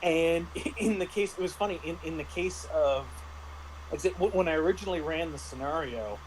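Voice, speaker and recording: A man in a casual discussion as a game master, speaking haltingly and often restarting his phrases. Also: on the high side, slight background noise, poor audio. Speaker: game master reviewing a tabletop RPG.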